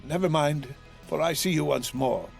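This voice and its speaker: Man voicing a gentle, humble old father. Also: a little high, creaky, soft background music, pitched above the performer's own voice. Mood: meek, tender. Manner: halting, mild.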